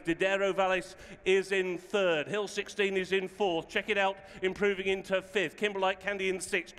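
A high-voiced man calls a horse race live, his delivery bright and forceful. The phrases come clipped and fluent, one after another, with a long reverberant echo around the voice. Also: clear, resonant tone. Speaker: horse racing commentator.

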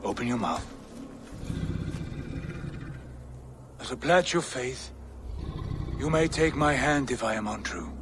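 An actor playing an earnest, compassionate warrior god in a video game, speaking formally. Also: male voice, medium pitch, expressive, normal speed, background noise, natural voice. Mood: solemn, reassuring. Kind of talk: video game cutscene acting.

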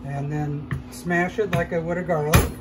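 A man hosting a cooking show, calmly explaining each step as he cooks in a shop demo kitchen. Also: a little high, normal speed, very noisy. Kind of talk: casual cooking demonstration.

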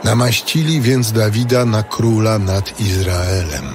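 A man's voice: fairly low pitch, a little slow, noisy background. A man narrating scripture in a solemn, scripted style. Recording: music bed behind.

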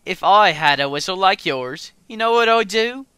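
A man voicing a cool steam engine in a children's story, needling a rival in a deliberate, mocking way. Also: sits high, expressive, bright, forceful tone, smooth, dry recording, voice pushed up. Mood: teasing.

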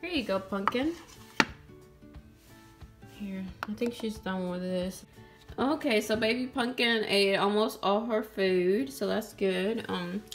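A woman's voice: medium pitch, slow pace, pauses often. A young woman casually narrating doll-play cooking in a sweet, chatty way, speaking to her viewers and a doll. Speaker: doll channel host. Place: toy kitchen indoors. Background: background music.

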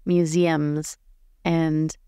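A woman's voice: sits low, level tone, very slow, mellow, clean tone, no room sound, clean studio sound. A woman chatting casually as a player in a tabletop roleplaying game, describing what her character does.

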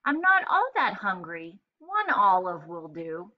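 A woman's voice: fairly low pitch, very animated, measured pace, phone-quality sound. A woman voicing a tiny, chirpy little girl in a storybook, eagerly and precisely ordering what she wants. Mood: demanding, precise. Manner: eager, precise, bossy but polite. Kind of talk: children's storybook read-aloud.

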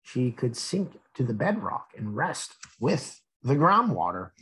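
A man's voice: medium pitch, big pitch swings, unhurried, fairly bright tone, husky, low-quality recording. A man reading a children's picture book aloud expressively, addressing young listeners with questions and asides. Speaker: read-aloud storyteller.

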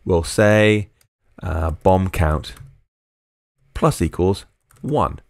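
A man's voice: deep voice, drawn-out words, creaky, darkish tone, no room sound, clean studio sound. A man narrating a screencast coding tutorial as a programming tutor, explaining step by step and dictating code word by word.

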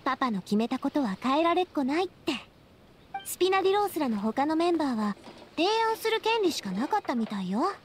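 A woman playing a warm, resolutely upbeat young lady boss in anime game dialogue, candid and reflective. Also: high-pitched, very animated, quick pace, a little hiss or hum. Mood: puzzled, candid. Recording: studio voice with music behind.

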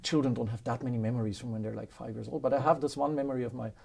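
A man in his fifties with a German accent, telling stories as a guest in a studio interview, animated and quick to laugh. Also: mid-range voice, creaky, breathy edge. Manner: animated, laughs often, acts out quotes. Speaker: guest, professor. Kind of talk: casual interview storytelling. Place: studio with dark curtain backdrop.

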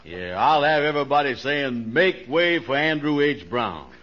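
An actor playing a boastful, slow-witted man in a 1940s radio comedy, pompous and bumbling, with folksy ungrammatical speech. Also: medium pitch, expressive, fairly bright tone, lightly acted. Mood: excited, boastful. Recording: muffled, hissy 1940s radio recording.

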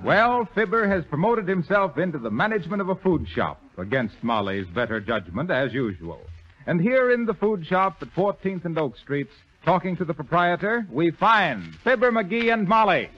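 A man's voice: high voice, big pitch swings, normal speed, music in the background. A man announcing a 1930s radio program, reading scripted ads and introductions. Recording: muffled 1930s radio broadcast.

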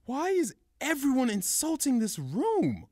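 A woman's voice: high voice, wildly swooping pitch, a little slow, warm tone, full character voice. A woman playing a menacing, vulgar male mobster with a mocking, swaggering manner in a crime comedy audio drama.

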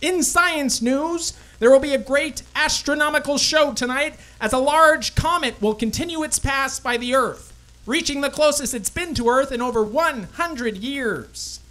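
Casting: woman as elderly male twenties radio announcer, very high voice, formal and declamatory. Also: a little slow, a bit bright. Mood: formal, measured. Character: older male 1920s radio newsreader, formal and earnest. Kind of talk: old-time radio news acting.

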